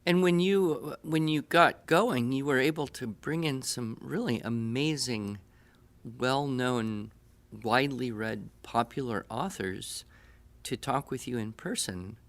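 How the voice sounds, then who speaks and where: A middle-aged man with a medium-pitched voice hosts a podcast conversation. He speaks in deliberate phrases with pauses, in an informal interviewing style. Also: fairly bright tone.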